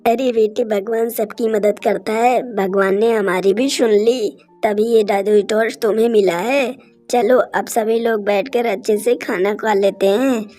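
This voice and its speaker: A woman's voice: medium pitch, fairly even intonation, measured pace, warm tone, faint music behind, natural voice. A woman voicing a caring, devout village mother in a moral-story cartoon, soothing and concerned.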